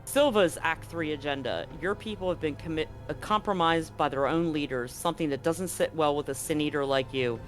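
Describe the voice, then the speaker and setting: A man's voice: on the high side, brisk, few pauses, soft background music. A man as game master of a tabletop roleplaying game, explaining rules and recapping in a chatty way with frequent ums.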